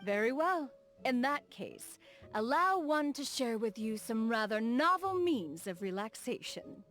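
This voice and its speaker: Regal immortal game lady, proud n formal, higher voice, super expressive swoops, bit of music behind. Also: unhurried. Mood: eager, proud. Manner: formal, stately, refers to herself as "one". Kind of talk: video game character dialogue.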